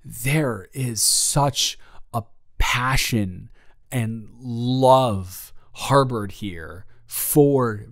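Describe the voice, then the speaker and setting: Friendly, flirty office boss, played by a man. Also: mid-range voice. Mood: earnest, enthusiastic. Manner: halting, conversational, with stammered restarts and chuckles. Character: friendly, flirty, nervous-excited male office boss welcoming a new intern. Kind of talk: improvised romantic audio roleplay, spoken to the listener.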